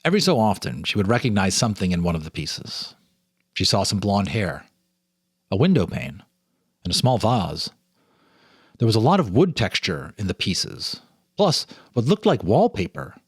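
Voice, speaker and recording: A man's voice: lowish voice, big pitch swings, normal speed, breathy edge, no echo, studio quality. A man reading a ghost story aloud as a storyteller, with a theatrical, suspenseful delivery. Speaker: storyteller, host.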